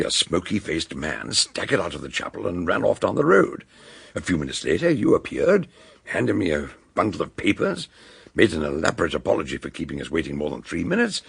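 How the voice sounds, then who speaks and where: Gravelly, husky British detective doctor. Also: male voice, low voice, no echo, full character voice. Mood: explanatory. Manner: wordy, deliberate, old-fashioned courtesy. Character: eccentric older male detective doctor, wordy, genial, narrating his case. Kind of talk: British detective radio drama acting.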